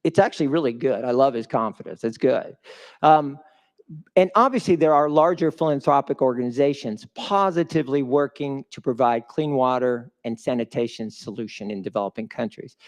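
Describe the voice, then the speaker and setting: A man's voice: mid-range voice, fairly quick. A man giving a live motivational fundraiser speech as a guest speaker, emphatic and putting questions to the audience. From a developing country.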